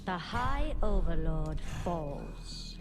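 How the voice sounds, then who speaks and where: An actress playing an imperious undead elf warlord in a game cinematic, haughty, deliberate and menacing. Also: female voice, fairly low pitch, lively intonation, noisy background. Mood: cold menace. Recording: film score behind.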